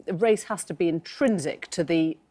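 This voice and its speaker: Low-voiced woman moderating a panel. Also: mellow. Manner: brisk, steering the discussion. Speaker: debate moderator. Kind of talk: moderating a studio panel debate.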